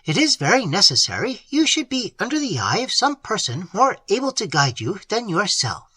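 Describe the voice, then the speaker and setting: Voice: mid-range voice, wildly swooping pitch, normal speed, bright, forceful tone, husky, no room sound, pitched above the performer's own voice, exaggerated voice. A reader voicing a haughty, scolding middle-aged aunt in an audiobook, with a self-important, sneering manner. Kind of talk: audiobook character voice.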